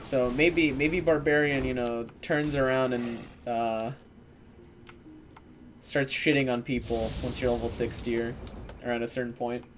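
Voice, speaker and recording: Slow-talking streamer with keyboard clicks. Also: male voice, medium pitch, a little hiss or hum. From Eastern European descent. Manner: relaxed, swearing, chatting to viewers while playing. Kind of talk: casual gameplay commentary.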